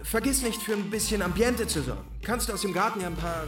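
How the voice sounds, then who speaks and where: A man's voice: sits high, animated, quick pace, distant mic. A man playing a breezy, demanding music producer in a radio play, giving instructions in an offhand, rambling way. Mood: breezy, bossy. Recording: echoey, off-mic, music behind.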